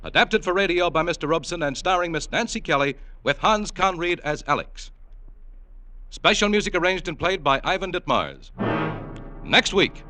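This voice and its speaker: Bright, animated American radio announcer. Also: male voice, on the high side, moderate pace, no echo, faint music behind. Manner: emphatic, polished salesmanship. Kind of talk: 1950s radio ad and credits announcing, scripted.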